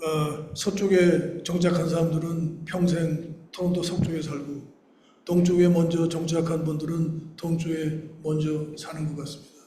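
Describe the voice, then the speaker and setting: A Korean-born pastor in his sixties delivers a sermon in a mellow, fairly even voice. He speaks slowly and deliberately, and his sentences sink quietly at their ends. The sound carries the reverberant echo of a church hall. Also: a little high, slight background noise. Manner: slow and deliberate in English, brisker in Korean; phrases trail off quietly at the ends.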